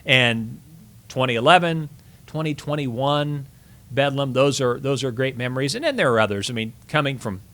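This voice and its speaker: A man's voice: medium pitch, lively intonation, normal speed, fairly bright tone. A middle-aged man speaking as an interview guest, telling sports stories casually with reflective, emphatic phrasing. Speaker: sports broadcaster, podcast guest.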